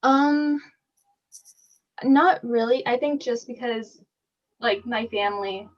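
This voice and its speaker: A woman's voice: fairly high pitch, slow pace. A teenage girl co-hosting a podcast, chatting casually and laughing often. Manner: chatty, laughs often, lots of "like" and "you know". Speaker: podcast co-host. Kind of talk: casual chat. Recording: slightly dull lo-fi audio.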